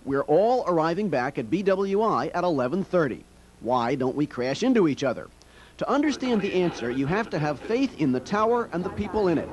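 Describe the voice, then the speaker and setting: An American man narrating a television news feature as a reporter, in a measured, wry storytelling style. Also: fairly high pitch, sing-song, crowd noise, poor audio.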